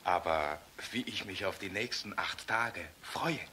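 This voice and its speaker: A tired, earnest fighter pilot in a radio drama. His voice is deep yet very bright and tense, subdued and tender, with faint noise behind it. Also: measured pace. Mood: tender, weary. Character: weary, gentle, earnest young fighter pilot husband. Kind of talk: intimate radio play acting.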